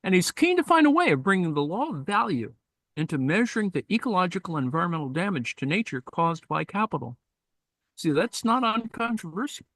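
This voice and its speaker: A man reading a text aloud in a live-streamed discussion and commenting on it, thoughtful and halting, with many fillers and asides. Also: high voice, big pitch swings, a bit bright, no echo.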